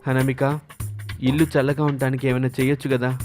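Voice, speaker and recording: Male narrator as heat-worn village husband, mid-pitched, cartoon tale over music. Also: little pitch movement, brisk, close to an everyday voice. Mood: weary, pleading. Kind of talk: cartoon story dialogue. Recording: music clearly behind the voice.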